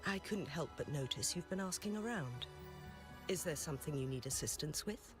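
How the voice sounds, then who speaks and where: Brief: polite, formal town official, lively intonation, game NPC, voiced by an actor. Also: medium pitch, normal speed, over music, close to an everyday voice. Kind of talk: game voice acting.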